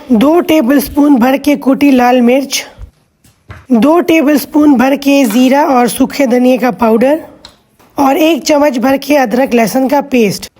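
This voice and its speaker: A woman hosting a cooking vlog with a hoarse voice, explaining each step patiently with chatty asides. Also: high-pitched, warm tone. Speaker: cooking channel host.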